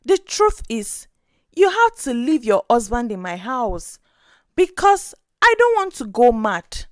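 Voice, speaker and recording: Woman acting brazen, high-pitched desperate young woman. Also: very animated, slow pace, no room sound, studio quality. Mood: defiant, agitated.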